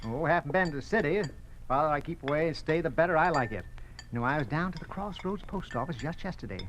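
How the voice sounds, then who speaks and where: An actor playing a bashful, good-natured old country neighbour in radio drama style, rambling and hesitant in a folksy way. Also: male voice, fairly high pitch, lively intonation, fast, fairly bright tone. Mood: grumbling. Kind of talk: 1950s radio drama acting. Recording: noisy old radio recording.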